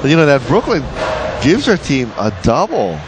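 Lively male bowling commentator, noisy background. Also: fairly high pitch, moderate pace. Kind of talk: live sports commentary with some sponsor reads. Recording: noisy bowling alley broadcast.

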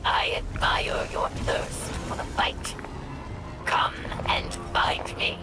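A woman's voice: slow, whispered, over loud music. A woman voicing a fierce, contemptuous alien warlord, harsh and declamatory, over a radio transmission in a video game. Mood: menacing, scornful. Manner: menacing, declamatory.

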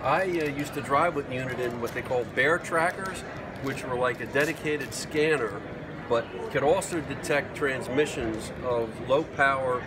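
A man's voice: medium pitch, measured pace. A middle-aged man chatting enthusiastically as he presents gear and asks questions in a casual product demo. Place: trade show booth, indoors. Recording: noisy trade show floor, distant mic.

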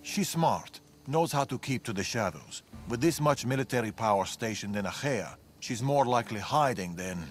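An actor playing a world-weary, guarded fugitive in ancient Greece, speaking gravely and deliberately in a video game cutscene. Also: male voice, medium pitch, animated, natural voice. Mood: grave, wary. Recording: game music behind the voice. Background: background music.

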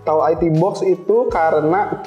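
A man's voice: high voice, moderate pace, a little mellow, lo-fi sound. A young adult man, explaining things casually as an interview guest, often acting out examples. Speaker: interview guest, cyber security engineer. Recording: roomy echo, faint background music.

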